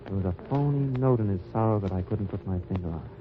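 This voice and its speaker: An actor playing a dry, wry undercover agent who narrates in first person in a 1940s radio drama. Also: male voice, lowish voice, fast talker, background noise, lightly acted. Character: hard-bitten American undercover agent narrating. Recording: lo-fi old radio transcription.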